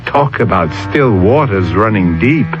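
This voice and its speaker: A man hosting and narrating a 1970s radio mystery in a wry, deliberate, dramatic style. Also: fairly low pitch, lively intonation. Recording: muffled old radio broadcast, loud music. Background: loud music.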